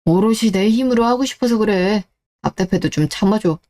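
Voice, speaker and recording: A woman's voice: mid-range voice, brisk, warm tone, lightly acted. A woman voicing an easygoing, self-reliant husband and son in a scripted radio drama, casual and mildly defensive.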